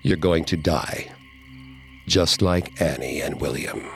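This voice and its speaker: A man voicing a crowd of vengeful murdered spirits speaking through a medium, in a groaning, ominous, slow voice. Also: extremely low pitch, vocal fry, faint music behind, pitched below the performer's own voice, full character voice. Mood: menacing.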